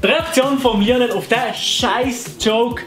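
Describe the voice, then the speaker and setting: A man's voice: extremely high pitch, measured pace, loud music behind the voice. A young man with a Fribourg Swiss German accent, hosting a comedy video and mocking other YouTubers in a sarcastic tone. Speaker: YouTuber host. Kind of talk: satirical commentary.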